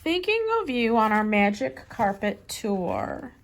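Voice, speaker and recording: Female children's story reader, higher-pitched, expressive and deliberate, like a teacher reading aloud. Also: gravelly, a little hiss or hum. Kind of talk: children's picture-book read-aloud.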